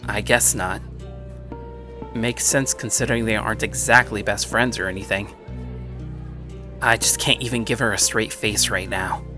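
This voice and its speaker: A man's voice: fairly low pitch, steady tone, moderate pace, pauses often, music in the background, natural voice. A man playing a bitter, downtrodden teenage schoolboy who narrates his own story in a dramatic first-person style. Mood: weary, brooding. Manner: brooding, self-pitying.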